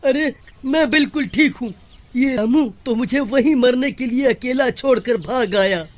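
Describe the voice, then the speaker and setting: A woman's voice: high-pitched, measured pace. A woman voicing a greedy, cowardly male village farmer in a Hindi cartoon, with a wheedling, exaggerated manner. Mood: dismissive.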